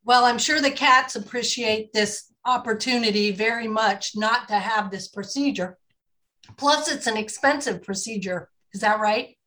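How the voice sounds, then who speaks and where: A woman's voice: on the high side, unhurried, pushed and bright, low-quality recording. Upbeat woman hosting a pet podcast. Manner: upbeat, scripted intro then chatty with fillers. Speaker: host.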